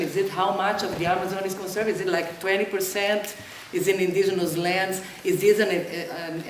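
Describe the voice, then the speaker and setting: A woman on a panel pushes her argument with a stream of questions. Her voice is fairly low. She is heard from a distance in a hall with heavy echo. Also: a little hiss or hum. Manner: pressing, with rapid-fire questions. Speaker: panel speaker. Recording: very echoey hall, distant mic.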